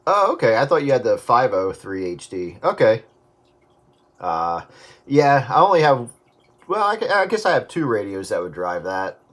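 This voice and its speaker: A man's voice: mid-range voice, sing-song, normal speed, fairly bright tone. A man in casual CB radio chat, talking in a folksy way with radio jargon over very noisy, clicking radio audio.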